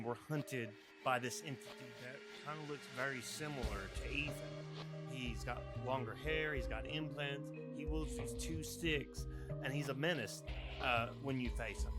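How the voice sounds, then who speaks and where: A man narrating a casual game retrospective in a rambling, conversational way, full of asides and self-mocking jokes. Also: mid-range voice. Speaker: video essayist. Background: background music.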